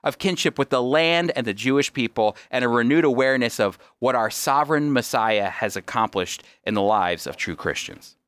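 A man's voice: mid-range voice, moderate pace, few pauses, fairly bright tone, clear, resonant tone, no room sound. A man hosting a radio program, explaining his points emphatically in a teaching style and often answering his own questions. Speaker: radio host.

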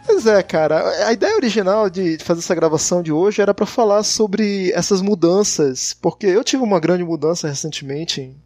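A man with a high voice hosts a casual podcast, chatting and joking in a relaxed way. He comes from Manaus. Soft music plays faintly under his voice. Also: normal speed, hardly any pauses, dry recording. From Manaus, Brazil. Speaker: podcast host.

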